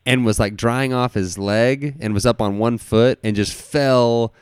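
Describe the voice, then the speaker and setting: A man's voice: medium pitch, steady tone, a little slow, clean tone, no echo, clean studio sound. A man hosting a podcast, chatting casually with a guest in a jokey, crudely humorous way. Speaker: podcast host. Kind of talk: casual interview chat.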